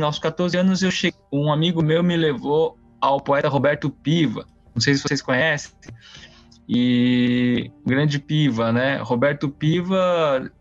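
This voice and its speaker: A man's voice: mid-range voice, no room sound. A man from Brazil, a guest telling his story in a casual, rambling way that often doubles back. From born in Taiwan, raised in São Paulo, Brazil from age five. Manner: rambling, self-interrupting, seeking agreement. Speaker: interview guest. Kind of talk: casual autobiographical talk. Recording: dull lo-fi sound with music behind. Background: faint music.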